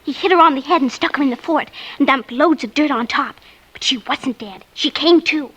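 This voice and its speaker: A woman's voice: sits high, normal speed, fairly bright tone, poor audio. A woman playing an earnest, frightened, insistent little girl in an old radio drama. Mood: alarmed, determined. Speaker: lead character and narrator. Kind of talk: 1940s-50s radio drama acting. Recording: old radio recording with music.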